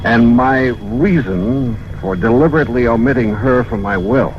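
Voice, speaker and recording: An actor playing a brisk, sharp, wry male detective in an emphatic 1950s radio drama style. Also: medium pitch, slight background noise, close to an everyday voice. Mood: grave reading. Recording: dull vintage radio recording with music.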